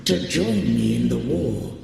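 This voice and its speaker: A man voicing an ancient godlike sentinel in a video game, speaking in solemn, archaic, grandiose measured phrases. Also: on the high side, wildly swooping pitch, vocal fry, mellow, full character voice. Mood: solemn, grave. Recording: cavernous reverb, music underneath.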